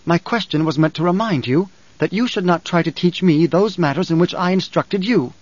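A man's voice: on the high side, takes it slowly, no room sound, highs cut off. A man voicing a composed, stern noblewoman, the mother of a grown son, in an audiobook.

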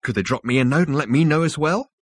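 A man's voice: fairly high pitch, quick pace, no room sound, pitched above the performer's own voice, close to an everyday voice. A cocky, slangy space rogue, acted out by a male audiobook reader, lifts his voice well above natural talk. He sounds suspicious and demanding, in a very dry recording. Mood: flippant. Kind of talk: audiobook character dialogue.

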